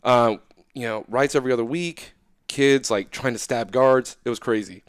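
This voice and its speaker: A young man talking casually as an interview guest, animated and loose, often checking in with "right?". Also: mid-range voice, moderate pace, clean tone, dry recording, studio quality.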